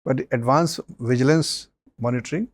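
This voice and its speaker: A man, a mock interview panelist giving measured advice with many pauses and fillers. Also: medium pitch.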